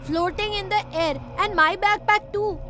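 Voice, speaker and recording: Piping bright little kid. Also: female voice, very high-pitched, normal speed, soft background music. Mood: puzzled. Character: puzzled young child in a cartoon. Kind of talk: cartoon story dubbing.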